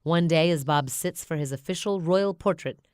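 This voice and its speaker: A woman narrating a children's storybook in an expressive read-aloud style. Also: low voice, normal speed, smooth, dry recording, studio quality.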